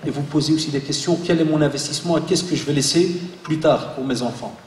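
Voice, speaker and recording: A man in his forties, born in Belgium, giving a lively religious talk to an audience and joking with the crowd. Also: on the high side, fast, a little hiss or hum. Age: 40s. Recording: echoey hall, voice from far off.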